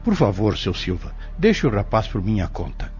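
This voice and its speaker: A Brazilian man playing a calm, reserved pharmacist in an audio drama, polite and measured with gentle authority. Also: on the low side, faint music behind. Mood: firm, polite. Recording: dull lo-fi audio.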